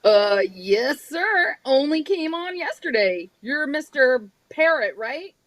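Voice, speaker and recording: Woman as a high-voiced, fumbling lift operator. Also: very animated, slow pace, a bit bright, exaggerated voice. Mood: hesitant. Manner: deferential, fumbling. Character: eager, hesitant new lift operator. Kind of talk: old-time radio drama acting.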